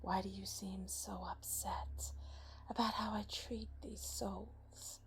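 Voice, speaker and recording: A woman voicing a menacing female spirit of darkness in a slow, taunting whisper for an ASMR roleplay. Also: medium pitch, little pitch movement, creaky, rough recording. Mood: indignant, menacing.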